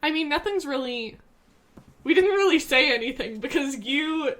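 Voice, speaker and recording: A woman's voice: high voice, lively intonation, normal speed, fairly bright tone. A young adult woman co-hosting a podcast in easygoing, giggly casual chat, her voice a little scratchy, over a remote call. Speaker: podcast co-host. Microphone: remote call, old headphones.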